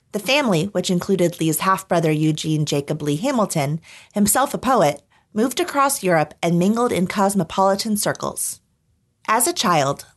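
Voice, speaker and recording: A woman hosting a podcast, reading scripted narration in a measured, articulate storytelling manner. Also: fairly low pitch. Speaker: podcast host. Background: background music.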